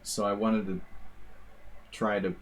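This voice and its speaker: American guy streaming chess, lowish voice, rambles with lots of um and like, faint hiss behind. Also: level tone, takes it slowly. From United States. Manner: casual, rambling, wry asides with many fillers. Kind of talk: live chess commentary.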